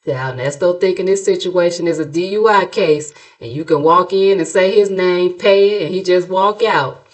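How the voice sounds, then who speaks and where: A woman's voice: lowish voice, normal speed. A middle-aged American woman giving sassy, gossipy reaction commentary as a host, in a colloquial, playfully mocking, dramatic storytelling way. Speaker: YouTube commentary host, narrator.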